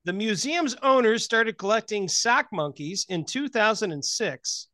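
A man's voice: sits high, lively intonation, unhurried, sharp tone. A man co-hosting a radio show in casual banter, repeating phrases for emphasis.

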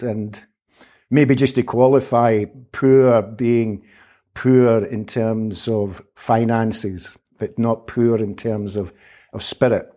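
A man, a humanitarian aid worker giving measured, thoughtful answers as an interview guest. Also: on the low side, mellow. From Scotland. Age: 50s. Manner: measured, thoughtful, qualifies his words. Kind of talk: reflective interview conversation.

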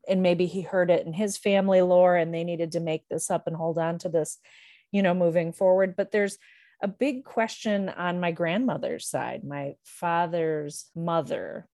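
A woman talking reflectively about personal matters in a thoughtful, measured way, pausing to find the right words. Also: fairly low pitch, darkish tone, no room sound, studio quality.

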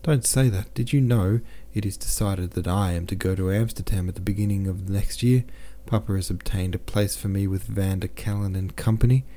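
Kind resigned young man read by a man, soft consoling tone, low mellow voice. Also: fairly quick, hardly any pauses, lightly acted. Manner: gentle, consoling, sighing. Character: young man, kindly but resigned brother.

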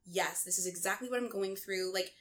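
Podcast host: woman, medium pitch, informal and encouraging conversational delivery. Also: brisk, fairly bright tone. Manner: encouraging, supportive, heavy use of "like". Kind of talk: casual chat interview.

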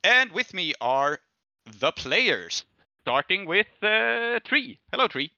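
Male GM from Sweden, slightly higher voice, animated, giggly, dry home mic. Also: slow pace, no room sound, lo-fi sound. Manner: lively, laughs often. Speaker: game master. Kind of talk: hosting a tabletop game podcast.

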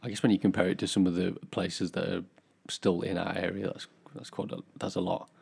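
A man's voice: low-pitched, flat delivery, fairly quick, gravelly, a little mellow, slightly rough. A young British man in casual chat as a podcast co-host, easygoing with wry, dry understatement.

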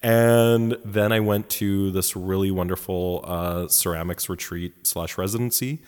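A man's voice: low voice, fairly even intonation, measured pace, darkish tone, clean tone, long reverb tail. A man from Poland, with Polish as first language, co-hosting a podcast in campy, joking casual chat from his home. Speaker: podcast co-host. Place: house in Poland.

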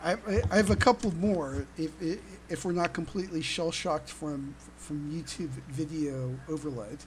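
A podcast host chatting casually in a halting way, often repeating words. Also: medium pitch, a little hiss or hum.

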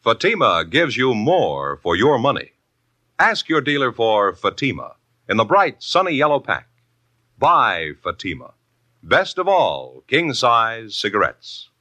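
A man's voice: mid-range voice, big pitch swings, a little slow, pushed and bright, no room sound. An American man reading a 1950s radio advertisement as an announcer, with an emphatic, polished sales delivery. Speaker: commercial announcer.

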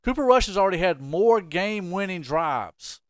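A man co-hosting a podcast, talking sports in an animated, joking way and quizzing his co-host. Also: high voice, moderate pace, no room sound, clean studio sound. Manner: animated, jokey, plays the interviewer. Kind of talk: casual sports chat.

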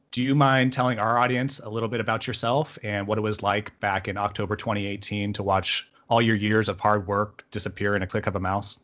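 A man hosting a podcast, reading a measured, welcoming introduction and then asking his guest a question. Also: on the low side, fairly bright tone. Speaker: podcast host.

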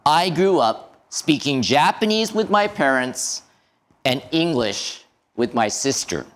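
A male pastor delivering a sermon in a slow, measured way, letting his voice fall at the end of each phrase. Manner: slow, measured preaching with lively pitch and drops at phrase ends.

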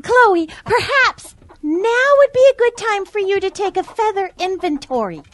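Comic radio-play hen: very high, animated, vain, theatrical. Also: female voice, a little slow, exaggerated voice. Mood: prim, careful. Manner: theatrical, exclamatory. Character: vain, dramatic, chatty female hen. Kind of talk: comic audio drama acting.

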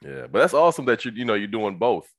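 A man hosting a casual interview, relaxed and encouraging, with frequent short agreements. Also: mid-range voice, fast, no room sound.

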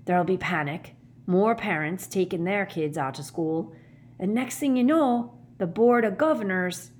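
A woman voicing a huge, shaggy, anxious man with a hoarse growl and slow rustic speech in a storybook. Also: medium pitch, darkish tone, lightly acted. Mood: worried, secretive.

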